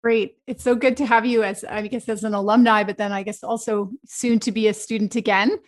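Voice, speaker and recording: A woman's voice: mid-range voice, fairly even intonation, a little fast. Woman hosting a podcast. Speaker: podcast host. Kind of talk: scripted intro then casual interview.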